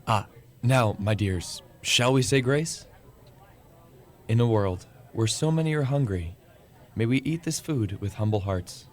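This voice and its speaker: A man plays a kindly father in a radio play. He speaks affectionately and earnestly, humble and gentle, at a middling pitch. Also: expressive, measured pace, close to an everyday voice. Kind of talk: radio drama acting.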